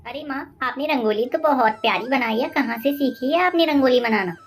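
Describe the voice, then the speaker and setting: A woman voicing a naive young daughter-in-law, deferential and full of questions, in an animated moral story. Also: high voice, brisk, a little hiss or hum, lightly acted. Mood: chatty, curious.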